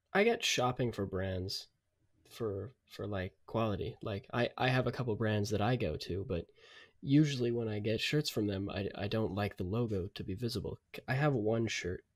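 A man with a fairly low voice talks as a podcast co-host. His style is casual and conversational, and he puts his opinions across plainly, repeating words as he goes. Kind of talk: casual discussion.

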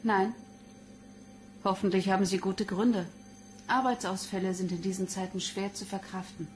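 A woman's voice: medium pitch, fairly even intonation, moderate pace, a little mellow, a little hiss or hum, natural voice. Woman playing a curt, prickly wife. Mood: defensive. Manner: curt, defensive. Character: prickly, bitter childless wife under questioning. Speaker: actor in a crime radio drama. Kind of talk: German radio play acting.

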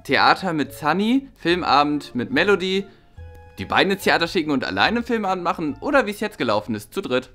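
A man's voice: a little high, sing-song, brisk, sharp tone, faint music behind. A young man playing a wavering, exasperated roommate and peacemaker in a web drama, hesitant and colloquial. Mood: questioning. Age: young adult. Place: living room indoors.

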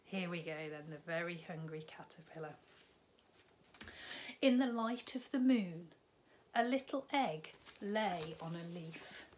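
A woman in her thirties reads a picture book to young children at a slow, unhurried pace. Her voice sits a little low, and she lifts it brightly on key words. The webcam recording carries a faint background hiss. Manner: slow, expressive storytime reading with lively rises on key words. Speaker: primary school teacher. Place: living room at home, indoors.

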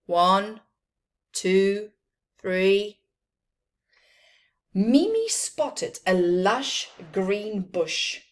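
A middle-aged woman reading a children's storybook aloud with expressive, dramatic phrasing and emphasised words. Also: mid-range voice, drawn-out words, long pauses. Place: small plain room indoors.

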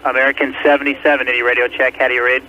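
Fast, flat-voiced male air traffic controller on radio. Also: medium pitch. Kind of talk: clipped radio phraseology. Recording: muffled, noisy air traffic radio recording.

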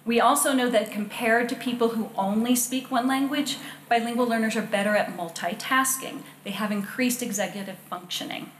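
Casting: middle-aged woman, friendly lecturer at a podium mic, roomy hall, faint hiss. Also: on the high side. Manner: friendly, measured speaking with fillers. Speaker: education researcher and presenter. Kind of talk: public lecture. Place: wood-panelled lecture hall. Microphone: podium microphone.

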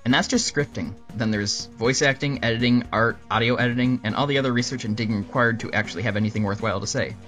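A man hosting a scripted question-and-answer show, narrating answers in a dry, deadpan manner. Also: medium pitch, fast, few pauses. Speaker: host answering viewer questions. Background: music under the voice.

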